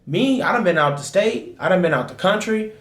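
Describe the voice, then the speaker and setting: Young guy preaching with fire in a casual chat, kinda high voice, echoey room. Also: lively intonation, brisk, a bit bright. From Dayton, Ohio, USA. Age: 20s. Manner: passionate, preachy, repeats "you know what I'm saying". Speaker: guest, host's husband.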